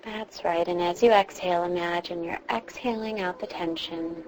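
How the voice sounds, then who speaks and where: A woman leading a guided meditation in a soothing, reassuring manner, with drawn-out pauses between phrases. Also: on the low side, fairly even intonation, a little mellow. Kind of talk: guided meditation, instructing a listener. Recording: clearly noisy background ambience.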